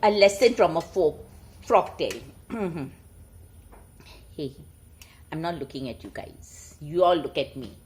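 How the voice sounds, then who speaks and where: Female storyteller, Indian accent. Slightly low register with big melodic swings, animated and homely in delivery. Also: measured pace, long pauses, slight background noise. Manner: chuckling, animated, informal asides. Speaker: storyteller and host. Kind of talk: casual chat mixed with read-aloud moral tales.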